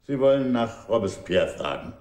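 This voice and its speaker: An actor playing a confident, wry commissioner general in a German radio drama, speaking formally and with assurance. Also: male voice, medium pitch, big pitch swings, moderate pace, darkish tone, natural voice. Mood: assured, wry.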